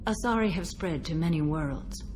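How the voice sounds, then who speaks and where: Lady doing a serious stoic space warrior mom, game dialogue, deepish voice, noisy room tone behind. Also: lively intonation, a little mellow, natural voice. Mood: stern, matter-of-fact. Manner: measured, formal, grave. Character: solemn, dutiful alien warrior mother, stoic and composed. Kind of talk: video game dialogue acting.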